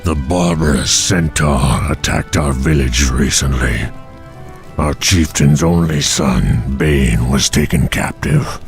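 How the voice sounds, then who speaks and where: A huge bull-man tribesman from a fantasy game. The voice is extremely deep and slow, solemn and worried, over faint music. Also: exaggerated voice. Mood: grave. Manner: solemn, measured. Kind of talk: video game cinematic acting.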